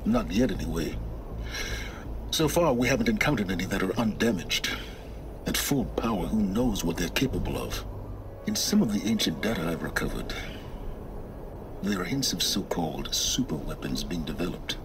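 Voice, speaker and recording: A cold, deep-voiced scholar. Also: male voice, animated, background noise. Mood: explaining. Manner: condescending, measured and cool. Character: cold, secretive male scholar of ruins. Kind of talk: video game cutscene acting.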